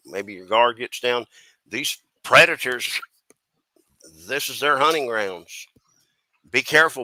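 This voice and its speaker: A man from the US East Coast chatting casually as a stream panelist, giving his opinions in a halting, folksy way. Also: mid-range voice, pushed and bright, no echo, poor audio. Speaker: panelist on a crime chat stream.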